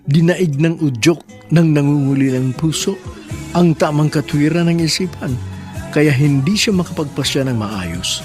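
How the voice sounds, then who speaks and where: A man's voice: on the high side, unhurried, darkish tone, faint music behind. A man hosting and narrating a radio drama, speaking formally, earnestly and politely.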